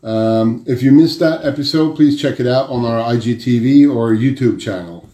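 Man hosting with halting filler-filled speech. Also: medium pitch, warm tone, reverberant. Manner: halting, many fillers and restarts. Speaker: podcast host. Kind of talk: casual interview chat.

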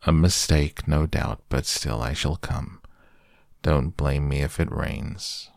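A man voicing a gloomy, resigned donkey who talks in a slow, doleful, sarcastic mutter for a storybook. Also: extremely low pitch, gravelly, darkish tone, dry recording, clean studio sound, full character voice.

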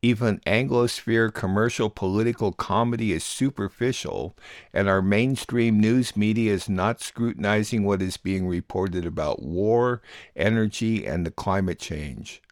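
A man hosting a radio news programme, reading scripted introductions in a measured, formal announcing manner. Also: on the low side, no echo, clean studio sound. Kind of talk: scripted narration.

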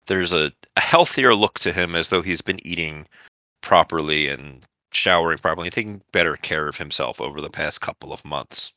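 A man's voice: low-pitched, normal speed, pushed and bright, no room sound, lo-fi sound. A man narrating a tabletop roleplaying game as the game master, setting scenes and calling for rolls.

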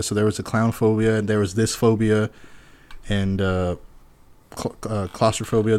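A man co-hosting a podcast in casual chat, rambling with restarts and frequent laughs. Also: lowish voice. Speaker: podcast co-host.

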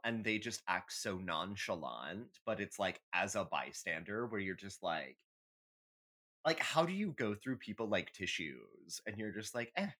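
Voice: sits low, a bit bright. A podcast co-host in casual chat, giving candid, emphatic, sweary opinions like a comic rant.

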